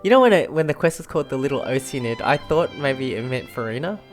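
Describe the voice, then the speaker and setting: Gaming commentator: casual, rambling, enthusiastic chat with game music clearly underneath the voice. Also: medium pitch, animated, fast. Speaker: gaming streamer. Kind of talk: casual chat, reacting to gameplay.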